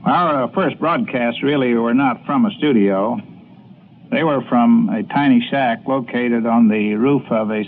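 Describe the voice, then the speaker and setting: An older man with an American accent recalling his radio days in a measured, slightly halting way. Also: mid-range voice. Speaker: former radio announcer. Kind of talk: recorded interview reminiscence. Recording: tinny, noisy archival recording.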